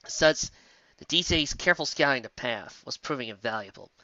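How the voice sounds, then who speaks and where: Bright, animated audiobook narrator. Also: medium pitch, fairly quick, no room sound, lo-fi sound. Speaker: fiction narrator. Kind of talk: animated scripted story narration.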